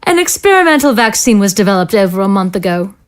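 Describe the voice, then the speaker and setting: A woman's voice: medium pitch, big pitch swings, lightly acted. A woman acting in an audio drama as a cold, ruthless scientist in authority, speaking in formal, sardonic phrases. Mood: smug, threatening. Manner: formal, sardonic, measured wording.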